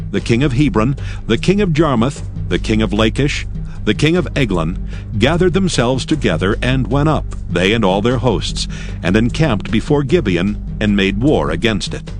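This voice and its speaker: A man narrating dramatized scripture in a formal, measured storytelling manner. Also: mid-range voice, expressive, music in the background.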